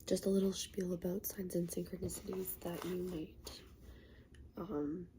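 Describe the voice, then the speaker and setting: A woman with a stuffy, sniffly cold speaks in a hushed, half-whispered voice as she opens a tarot reading. Her tone is deeply mellow and very flat in pitch, and she hesitates often. Faint noise hums underneath. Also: a little slow. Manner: soft, half-whispered, hesitant with many ums; apologetic and self-conscious about her voice. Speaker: spiritual tarot reader. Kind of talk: casual spiritual talk to camera.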